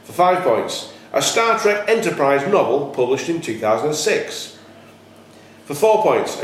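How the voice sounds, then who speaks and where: A man working as a quiz host, reading questions aloud in a deliberate, enunciated way. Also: on the high side. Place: echoey indoor room at a cricket club. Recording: very echoey room, faint background noise.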